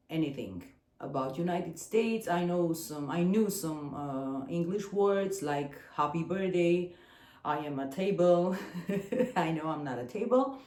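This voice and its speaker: A woman in her forties from Armenia, with an Armenian accent and Armenian as her first language, talking to the camera in a personal vlog, pausing often and searching for her words. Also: lowish voice, warm tone, roomy sound. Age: 40s. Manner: hesitant, searching for words, frequent fillers. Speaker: singer and channel host.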